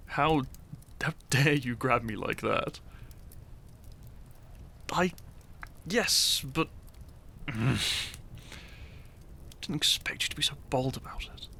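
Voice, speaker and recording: A man playing a shy, teasing, lovestruck young hero in an intimate romantic audio roleplay, speaking softly and hesitantly with small stammers. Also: mid-range voice, slight background noise, heavily acted. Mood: flustered, tender. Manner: soft, hesitant, stammering, breathy sighs and chuckles.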